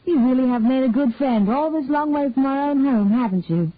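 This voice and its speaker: A woman voicing a gentle young mother who speaks tenderly and soothingly, with a husky edge and a tinny phone-like sound. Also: high voice, brisk, no echo, voice pushed up.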